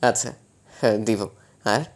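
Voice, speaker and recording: Casting: actor as bemused new husband for romantic drama; medium pitch, weary, gently protesting, gives in easily. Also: male voice, fairly even intonation, a little slow, natural voice. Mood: indulgent. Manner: hesitant, mildly protesting, indulgent. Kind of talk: romantic audio story acting.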